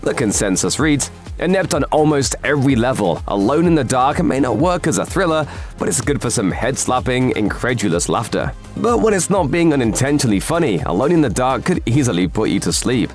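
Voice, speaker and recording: Animated male narrator over background music. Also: medium pitch, fairly quick. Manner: sardonic, emphatic. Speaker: voiceover narrator. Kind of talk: scripted video essay narration.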